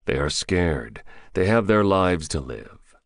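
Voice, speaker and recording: A man's voice: low-pitched, very animated, slow, dry recording, clean studio sound, full character voice. A man voicing a curt, commanding soldier. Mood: terse. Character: terse, commanding male soldier operative. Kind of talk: audiobook character voice.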